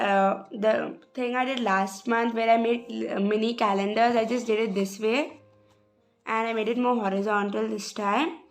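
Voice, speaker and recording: Young woman with a slightly rough voice. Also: a little high. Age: young adult. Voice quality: hesitant, many "sort of" fillers. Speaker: journal vlogger. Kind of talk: casual craft walkthrough voice-over.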